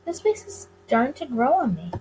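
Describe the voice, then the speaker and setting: A woman reading a visual novel aloud as dramatic narration for a gaming video, with expressive delivery. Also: high-pitched, moderate pace, soft background music.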